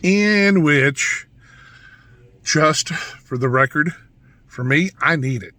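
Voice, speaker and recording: A man with a hoarse, raspy voice, talking through a casual vlog in a rambling monologue full of restarts and asides. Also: fairly high pitch, animated, takes it slowly, sharp tone, dry recording. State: hoarse from yelling. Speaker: vlogger.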